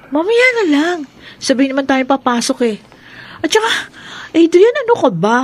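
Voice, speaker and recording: A sassy young college student in a radio drama, teasing her classmate and ordering him around. Her voice sits high. She sounds playful and bossy. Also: very animated, moderate pace. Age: young adult.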